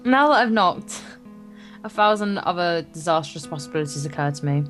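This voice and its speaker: Female let's play co-host, casual game narration, lower-than-average register, faint music bed. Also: expressive, brisk. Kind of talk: reading visual novel narration aloud with casual asides.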